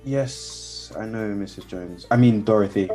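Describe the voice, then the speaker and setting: A man's voice: on the low side, measured pace, dark tone, soft background music, natural voice. An actor playing an earnest, lovestruck young man in a radio play, awkward but persistent. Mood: apologetic. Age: young adult. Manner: earnest, hesitant.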